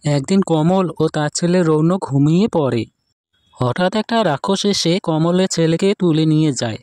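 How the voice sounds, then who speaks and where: A man's voice: fairly high pitch, moderate pace, darkish tone, no room sound, clean studio sound. A man reading scripted storybook narration for cartoon tales.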